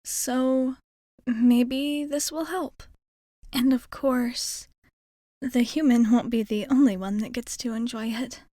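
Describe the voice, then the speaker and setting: A woman's voice: on the high side, steady tone, slow pace, mellow, no room sound, clean studio sound. A woman playing a shy, nervously hopeful young alien space traveler in an ASMR roleplay, rambling hesitantly and putting herself down. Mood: anxious, musing. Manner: hesitant, rambling, self-effacing. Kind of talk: ASMR roleplay, intimate character monologue.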